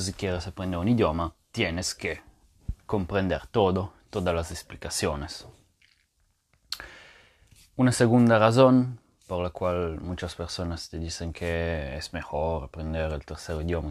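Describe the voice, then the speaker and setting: Very deep-voiced man explaining a lesson. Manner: thinking aloud, with frequent fillers and restarts. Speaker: language teacher.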